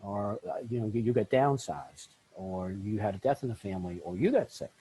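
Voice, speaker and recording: A middle-aged man, a life coach, telling his story in an interview, often jumping into lively quoted voices. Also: fairly quick, a little hiss or hum, low-quality recording. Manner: acts out quoted voices with big pitch jumps. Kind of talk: animated storytelling in a podcast interview.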